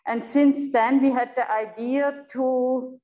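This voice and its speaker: A woman with a German accent gives an unscripted lecture in her second language. Her voice sits slightly high, and she halts often, reaching for words with little fillers. The room around her is echoey. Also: steady tone, dark tone. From Europe. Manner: halting, searching for words, frequent fillers. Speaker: guest lecturer. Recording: echoey hall.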